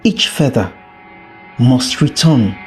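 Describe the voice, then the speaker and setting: Storyteller as folktale parrot, stern, solemn, commanding, drawn-out slow delivery. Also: medium pitch, expressive, darkish tone, soft background music. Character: stern parrot demanding justice from a cheat.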